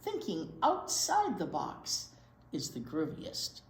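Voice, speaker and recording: A woman's voice: lowish voice, wildly swooping pitch, takes it slowly. A woman reading a picture book aloud to young children as a storytime teacher, expressively drawing out key words and asking little questions. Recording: roomy, echoey indoor sound.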